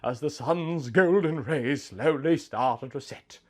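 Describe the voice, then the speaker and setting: A man's voice: on the high side, takes it slowly, a little mellow. A man reading a scripted first-person story as narrator, with music behind him.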